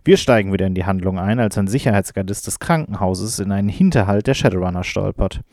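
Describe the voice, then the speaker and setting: A man's voice: sits low, fast, a little mellow, dry recording, clean studio sound. A man narrating a scripted recap as game master, addressing the listener directly and informally.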